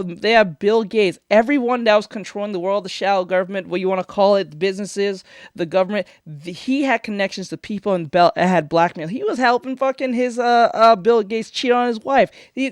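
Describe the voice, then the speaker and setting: A man's voice: high-pitched, no room sound, studio quality. A man streaming casual reaction commentary, rambling and opinionated with lots of swearing and restarts. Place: foam-treated home studio. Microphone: studio microphone.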